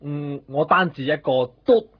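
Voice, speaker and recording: An actor playing an evasive, defensive shopkeeper in a 1990s Hong Kong Cantonese radio drama. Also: male voice, a little high, animated, unhurried, slightly rough. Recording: dull lo-fi radio recording.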